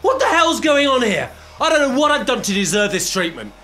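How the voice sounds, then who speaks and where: Casting: man to play put-upon husband in a salon farce, hesitant, word-repeating, lively swings of intonation, audio play. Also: very high-pitched, a little fast. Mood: outraged. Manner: hesitant, stammering, repeats words. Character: polite, put-upon married man, exasperated salon customer. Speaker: actor in an amateur audio play. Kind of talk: comedic radio-play acting.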